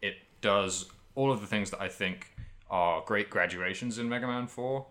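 Deep, bright-voiced man chatting about games. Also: moderate pace. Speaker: podcast co-host. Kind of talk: casual video game discussion.

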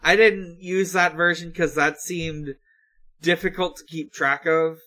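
A man co-hosting a casual recap discussion, explaining things in a halting, hesitant way. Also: on the high side, fairly even intonation, bright, forceful tone. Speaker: podcast co-host recapping episodes. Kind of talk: casual episode recap with co-hosts.

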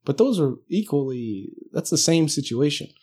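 A man's voice: fairly high pitch, very animated, normal speed, warm tone, husky. A man talking casually in a conversation, laid-back and joking, working through his ideas aloud with hesitations.

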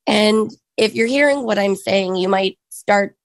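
Woman, 30s, hoarse and gravelly, dry close sound, earnest personal talk with lots of ums. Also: medium pitch, dry recording. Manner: earnest, reflective, pauses with frequent ums. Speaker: speaker sharing her story.

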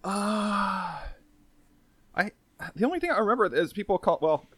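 A male podcast co-host with a somewhat high voice. His delivery swings widely in pitch as he teases and riffs in casual comedic banter, with a dry, close sound. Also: animated, moderate pace, a bit bright, dry recording. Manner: playful, teasing.

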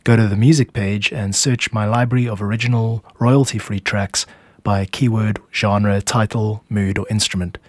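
A man's voice: on the low side, fairly even intonation, moderate pace, no room sound. A man narrating a scripted podcast as its host, explaining how music is made.

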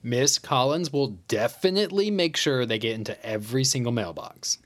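A man playing a smug, easygoing high school teacher in a naturalistic audio drama, casual and self-satisfied. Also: normal speed, lightly acted. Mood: breezy, indulgent.

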